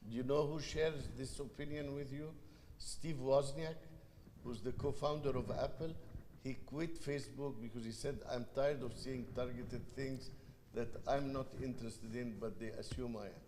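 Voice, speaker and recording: A man with an Egyptian accent leads a panel, pausing and restarting as he thinks aloud. His voice sits at a middle pitch and carries a long hall reverb. A faint hiss of background noise runs under it. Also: fairly even intonation, a little mellow. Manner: thoughtful, halting, hesitant restarts. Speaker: panel moderator. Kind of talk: panel discussion. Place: university event hall.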